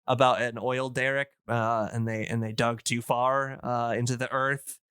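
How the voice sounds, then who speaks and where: A man in casual podcast conversation, enthusiastic as he recommends things, often halting to search for words. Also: mid-range voice, flat delivery, fairly bright tone, clear, resonant tone, no room sound, studio quality.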